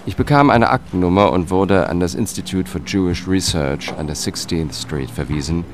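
A man's voice: sits low, normal speed, slight background noise. An actor playing a dry, dogged investigator who narrates his case soberly in a German crime radio drama. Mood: sober, probing. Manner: sober, factual, short sentences. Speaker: protagonist and first-person narrator. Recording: music behind the voice.

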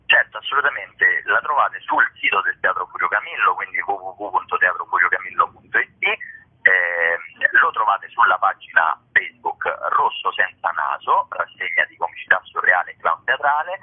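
Guy with a low raspy voice, explaining stuff hesitantly, sounds like a phone call on radio. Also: lively intonation, moderate pace. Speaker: theatre festival organiser and presenter. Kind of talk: informal promotional interview. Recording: muffled lo-fi phone-like radio line.

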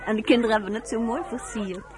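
A woman's voice: a little high, fairly even intonation, fast talker, a crowd in the background, natural voice, poor audio. Actress as a courteous woman, voices behind. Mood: polite, pleased. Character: polite, modest visiting woman, warmly received. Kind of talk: radio drama acting.

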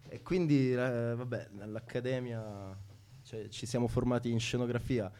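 Casting: young male radio guest, mellow mid-range voice, hesitant casual talk. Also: medium pitch, normal speed, slight background noise. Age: young adult. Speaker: theatre technician, radio guest.

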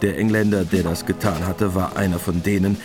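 A man's voice: sits low, steady tone, a little fast, over music. A man narrating a radio play in a matter-of-fact, scripted style.

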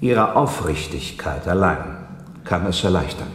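A man's voice: deep voice, lively intonation, measured pace, fairly bright tone. An actor playing a probing, commanding nobleman with formal, theatrical speech in a German radio drama. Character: noble gentleman, probing, commanding, intrigued by mystery. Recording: echoey hall, faint background noise.

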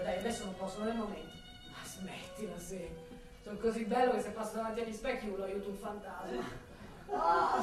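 A woman's voice: on the high side, recorded from a distance. An actress voicing a vain, flirtatious male cop in a radio drama, on a one-sided phone call with a former lover. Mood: teasing. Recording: very echoey room, off the mic, music behind.

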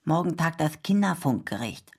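Low-voiced, placid storybook hare. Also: female voice, big pitch swings, moderate pace, heavy background noise. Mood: resigned. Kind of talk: whimsical radio play dialogue.

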